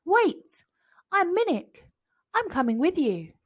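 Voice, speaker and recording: A woman's voice: very high voice, big pitch swings, slow pace, muffled recording, voice pushed up, full character voice. A woman voicing a small, plucky, affectionate boy in a children's storybook, with an eager manner.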